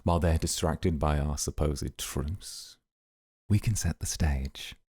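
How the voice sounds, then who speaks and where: A man playing a composed, calculating, coolly amused mafia boss, speaking in a measured, formal way in an ASMR roleplay. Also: very deep voice, dark tone, husky, no room sound, studio quality, heavily acted. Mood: calculating, calm.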